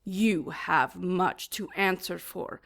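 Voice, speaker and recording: An actress playing a taunting, smugly sadistic female demon in an audio drama, making mock-polite threats with deliberate menace. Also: fairly low pitch, fairly even intonation, vocal fry, a bit bright, full character voice.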